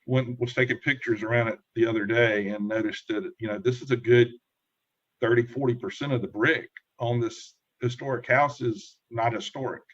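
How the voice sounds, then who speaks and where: A man's voice: mid-range voice, steady tone, moderate pace, a bit bright. Man presenting on a dull lo-fi call. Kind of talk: informal technical explanation of pictures. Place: online meeting.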